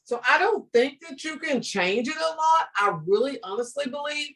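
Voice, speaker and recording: A woman's voice: sits high, moderate pace, distant mic. Older Southern US woman on a video call. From southern United States. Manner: lively, storytelling, encouraging. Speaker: ESL teacher guest. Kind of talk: online video-call talk to students. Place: home room with bookshelves.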